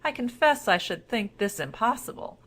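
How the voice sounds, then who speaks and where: A woman's voice: a little high, big pitch swings, moderate pace, a bit bright, voice pushed up. Woman voicing a cunning, courtly storybook cat. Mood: sly flattery. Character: cunning, boastful talking cat, courtly and threatening.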